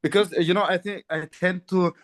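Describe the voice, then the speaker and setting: Guy on a podcast, kinda high voice, rambles with lots of likes and you knows. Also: steady tone, brisk. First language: Turkish. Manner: rambling, many fillers and restarts, laughs often. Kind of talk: casual chat.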